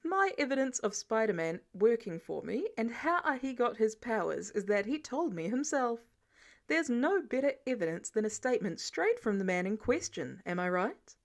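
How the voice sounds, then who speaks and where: A woman's voice: a little high, big pitch swings, moderate pace. A woman voicing a confident, nosy male billionaire boss, nonchalant and probing, in an audiobook. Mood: overconfident. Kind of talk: audiobook character dialogue.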